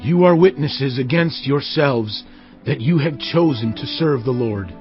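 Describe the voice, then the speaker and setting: An actor playing a stern, commanding Israelite leader, declaiming solemnly and emphatically in a dramatized audio Bible. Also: male voice, mid-range voice, unhurried, muffled recording, lightly acted. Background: music.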